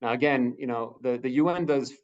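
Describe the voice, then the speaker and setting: A man speaking as a humanitarian spokesperson on a video call, answering questions in a halting way, with many fillers and restarts. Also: mid-range voice, darkish tone, muffled recording.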